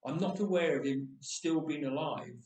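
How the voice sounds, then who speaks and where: A man's voice: on the high side, moderate pace, a little mellow. A man speaking as a storyteller to a church gathering, in a conversational storytelling style. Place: church, voice echoing. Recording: roomy church echo, distant microphone.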